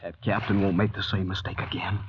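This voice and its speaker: A man's voice: deep voice, level tone, brisk, lightly acted. A man acting a desperate, frightened fugitive thinking aloud in an old-time radio drama. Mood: fearful, desperate. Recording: muffled, noisy vintage radio recording.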